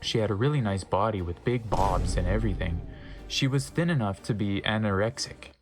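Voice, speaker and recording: A man's voice: on the low side, a little fast. Wry man reading a story over music. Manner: wry, stumbles over misspelled words and comments on them. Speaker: narrator reading fan fiction aloud. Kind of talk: dramatic comedic reading with offhand asides.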